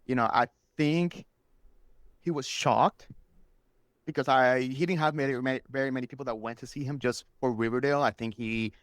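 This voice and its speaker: A man's voice: mid-range voice, no echo. A man whose first language is Spanish, chatting enthusiastically and casually as a guest telling stories on a podcast. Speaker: interview guest, superfan.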